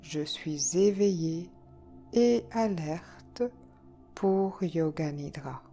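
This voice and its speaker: A woman leading a guided yoga nidra relaxation, speaking calmly and soothingly in short phrases with long pauses. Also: deep voice. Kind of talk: guided relaxation meditation, slow instructions. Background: soft background music.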